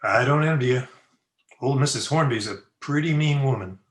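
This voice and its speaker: A man acts as a western town doctor in an old-time radio play. He has a medium-pitched voice and talks in a plain, folksy, concerned way. The recording is roomy and echoing. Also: moderate pace, natural voice. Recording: roomy, echoing home video-call recording.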